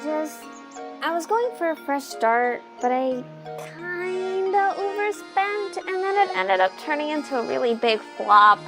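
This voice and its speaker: Casting: actress as bubbly fantasy townswoman, very high youthful voice, chatty delivery, music underneath. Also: lively intonation, unhurried. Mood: sheepish. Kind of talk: fantasy audio drama roleplay.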